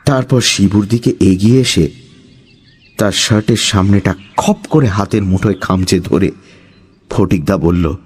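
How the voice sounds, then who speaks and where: A man's voice: low voice, unhurried, husky. A man narrating a scripted suspense story as its storyteller.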